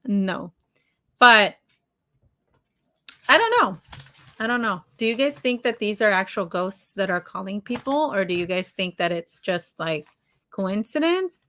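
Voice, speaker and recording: A woman's voice: mid-range voice, expressive, unhurried, pauses often, bright, forceful tone. A woman co-hosting a live stream in casual conversation, chatty and informal, with exclamations and slangy asides. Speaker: podcast co-host.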